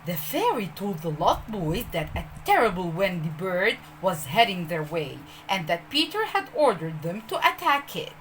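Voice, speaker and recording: A woman telling a children's story as host, expressive and speaking directly to young listeners. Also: fairly low pitch, normal speed, hardly any pauses, a little hiss or hum, rough recording.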